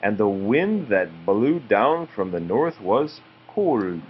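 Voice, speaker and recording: Man reading a storybook with lively intonation. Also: fairly low pitch, slow, husky. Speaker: father reading to a child. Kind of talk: storybook read-aloud. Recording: muffled, faintly noisy home recording.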